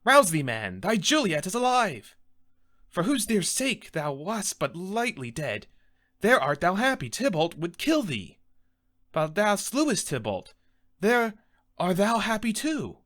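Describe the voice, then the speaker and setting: A stern, exasperated friar acted by a man rebukes in grand Shakespearean stage verse. The delivery is emphatic and declamatory, swinging widely in pitch from a fairly high male voice. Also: sing-song, a little slow, fairly bright tone, heavily acted. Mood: urgent exhorting. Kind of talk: Shakespearean theatrical monologue.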